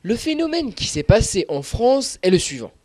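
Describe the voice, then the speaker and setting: A man's voice: high voice, big pitch swings, normal speed, a little mellow. A man delivering a formal scripted explanation as a presenter in a student news-style report.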